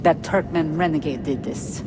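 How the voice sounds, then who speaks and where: A woman's voice: deep voice, moderate pace, echoey room, noisy background, natural voice. A woman playing a defiant, protective rebel spy in a video game, speaking bluntly and urgently. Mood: angry, determined. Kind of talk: dramatic video game cutscene acting. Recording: game audio under loud music.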